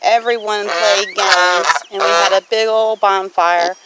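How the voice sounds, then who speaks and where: A middle-aged woman speaks at an ordinary pitch in a folksy, reflective manner. She is chatting through a casual question-and-answer vlog. The recording is noisy, with farm geese honking and clicks behind her. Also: steady tone, unhurried. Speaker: homesteading vlogger. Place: outdoors on a farm homestead. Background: farm animals, geese honking.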